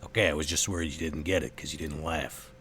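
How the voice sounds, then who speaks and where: A man's voice: rumbling low, steady tone, fast talker, a bit bright, a little hiss or hum. A man voicing a goofy, bumbling, bat-like vampire in a tabletop game, talking in an informal, jokey way. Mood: goofy, joking.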